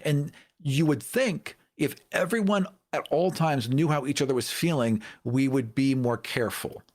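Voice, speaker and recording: A man's voice: mid-range voice, normal speed. Male panelist, mid register, casual conversational delivery with emphatic, joking argument. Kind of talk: casual panel chat about tabletop gaming.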